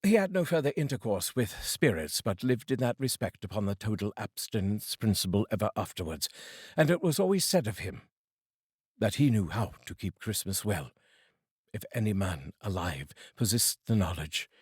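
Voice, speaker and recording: A man from the United Kingdom giving a theatrical, expressive reading of a classic story as narrator. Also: on the low side, normal speed, husky, no room sound, clean studio sound. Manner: theatrical and expressive, savouring phrases. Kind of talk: dramatic audiobook reading.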